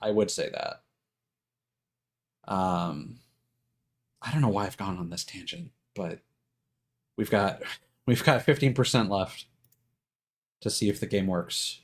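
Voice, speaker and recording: A man's voice: on the low side, lively intonation, slow pace, gravelly, long pauses. A man chatting casually as a live streamer, rambling and thinking aloud with hesitant self-corrections.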